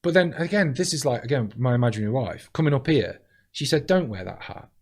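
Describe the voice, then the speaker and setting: Man, deadpan delivery, blunt and sweary, lively pitch underneath, casual podcast chat. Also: fast talker. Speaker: comedian, podcast guest.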